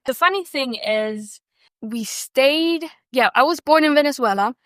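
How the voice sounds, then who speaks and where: A woman's voice: sits high, unhurried, no echo, studio quality. A young woman who grew up in South America and speaks German and Spanish natively, telling her story as a podcast guest, searching for words as she explains. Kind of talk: casual conversational storytelling.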